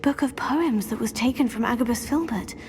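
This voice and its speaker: Woman playing a high-voiced earnest young witch heroine. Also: fairly quick, background noise, lightly acted. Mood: kind, earnest. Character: teenage witch heroine, earnest, caring, determined. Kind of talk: scripted video game voice acting.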